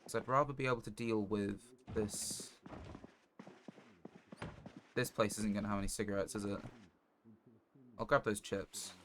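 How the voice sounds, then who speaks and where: A man with a fairly low voice is live streaming a survival game. He talks casually and thinks aloud in short, broken phrases. There is clear noise behind him, with clicking. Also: fairly even intonation, measured pace, pauses often. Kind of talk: casual gameplay commentary.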